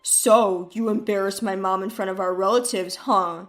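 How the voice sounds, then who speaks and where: Accusing husband played by a woman, pitched down, blunt and defensive, family story. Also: steady tone, moderate pace, soft background music, lightly acted. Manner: blunt, confrontational.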